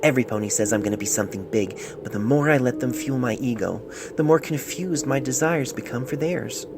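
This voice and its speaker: A man reads as a lovestruck young storybook mare, tender and wistful. The voice is lightened yet creaky, with music behind a homemade phone recording. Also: mid-range voice, fairly quick, natural voice. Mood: tender, hopeful. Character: young mare in love, writing a tender letter. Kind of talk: letter read aloud in story.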